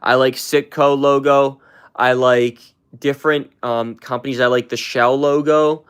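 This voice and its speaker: A live stream host chatting with viewers, rambling with many fillers and repeats, and shooting way up in pitch to hype up gifts and shout out names. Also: flat delivery, measured pace, clean tone. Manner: hyped, shouting out viewers, jumps way up in pitch when thanking for gifts.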